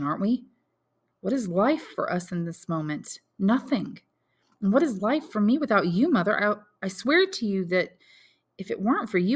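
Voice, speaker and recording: A woman's voice: medium pitch, breathy edge, pitched above the performer's own voice. A woman voicing an earnest, resolute young man who firmly reassures his mother in an audiobook. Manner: firm, reassuring, insistent. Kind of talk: audiobook character dialogue.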